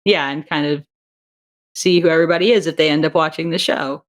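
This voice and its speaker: A woman's voice: low voice, steady tone, a little fast. A woman guest on a podcast, an audio drama creator, talking chattily with many fillers. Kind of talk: casual interview chat.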